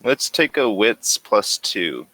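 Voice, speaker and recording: A man's voice: lowish voice, very animated, slow pace, pushed and bright, no room sound. A man running a tabletop roleplaying game as game master, narrating scenes and giving rulings.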